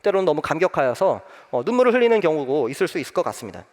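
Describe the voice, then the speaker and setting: A young man preaching a sermon as pastor, telling a personal story with many pauses and filler sounds. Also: on the high side, big pitch swings, big-room sound. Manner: gentle, frequent "eo" fillers, warm storytelling.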